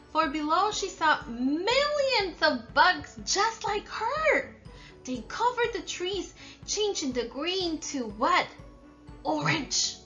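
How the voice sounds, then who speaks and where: A woman reads and explains a picture book for children as a teacher would. Her voice is high and bright, and her pace is slow. Soft music sits behind her. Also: dull audio. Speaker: read-aloud storyteller and teacher. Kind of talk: children's story read-aloud and explanation.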